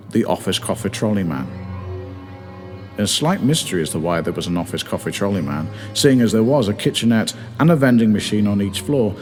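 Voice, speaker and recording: A man's voice: on the low side, darkish tone. A man reading his own comic story as narrator in a theatrical, mock-dramatic way. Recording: long reverb, music behind.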